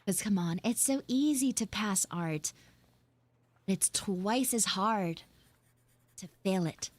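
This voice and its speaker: A woman voicing a flustered young tsundere schoolgirl in a live audio roleplay, halting, awkward and defensive. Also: mid-range voice, expressive, no room sound. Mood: awkward, boastful. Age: young, school student. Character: flustered tsundere frog girl, failing art student.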